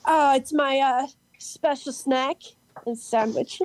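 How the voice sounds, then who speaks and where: High-voiced, eccentric cheerful woman, acted. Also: slow, no room sound. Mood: earnest, proud. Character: eccentric, cheerful woman proud of odd sandwiches and games. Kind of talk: amateur audio play reading.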